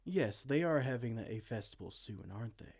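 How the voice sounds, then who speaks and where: A man's voice: mid-range voice, lively intonation, moderate pace, darkish tone. A man playing a dorky, petulant evil king in a comedic fantasy roleplay, pompous, theatrical and exasperated. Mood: pompous, pondering. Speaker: amateur roleplay audio performer.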